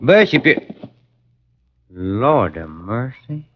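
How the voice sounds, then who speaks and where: An actor playing a plain-spoken, folksy small-town police chief in a 1950s radio drama, on a dull old recording. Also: male voice, medium pitch, very animated, extremely slow, exaggerated voice. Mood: urgent, shocked.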